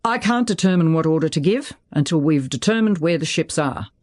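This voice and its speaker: A narrator voicing a tense, self-controlled male politician in uniform, cautious and measured. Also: mid-range voice, animated, dry recording, studio quality, lightly acted.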